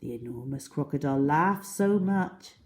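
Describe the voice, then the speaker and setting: A woman reading a children's story aloud with dramatic, deliberate, expressive narration. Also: deep voice.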